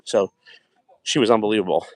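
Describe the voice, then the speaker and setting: A man's voice: fairly low pitch, a little fast, no room sound. A middle-aged man hosting a casual livestream, talking while he walks a track outdoors at night and greeting viewers. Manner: chatty, reflective, greets viewers warmly by name. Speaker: wellness livestream host.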